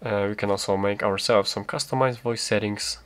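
Male tutorial host, fairly low pitch, spontaneous step-by-step walkthrough with halting phrasing. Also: fairly even intonation, smooth.

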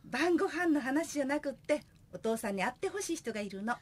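A woman's voice: high voice, animated, fast. A young woman playing an eager, cheeky singer daughter in a comic radio drama.

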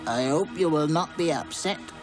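A man's voice: fairly high pitch, moderate pace, over music, voice pushed up, lightly acted. A man doing an old hedgehog nanny. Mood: soothing. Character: old female hedgehog nanny, soothing and fussy.

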